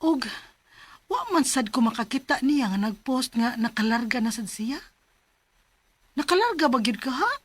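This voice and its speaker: A young Filipina working abroad, with a high, plaintive voice. She is patient yet worried, speaking earnestly in the style of a radio drama. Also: lively intonation, normal speed, long pauses, breathy edge, no room sound. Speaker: radio drama lead and narrator.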